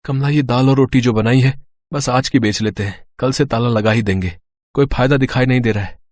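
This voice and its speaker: A man's voice: mid-range voice, fairly quick, dry recording. A man voicing a poor, worried roadside eatery owner in a storybook drama. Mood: weary. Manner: worried, weary. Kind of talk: storybook voice acting.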